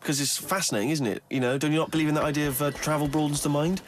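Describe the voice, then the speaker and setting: A man guest on a breakfast show, joking with quick, dry banter. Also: fairly high pitch, steady tone, a little hiss or hum. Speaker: comedian guest.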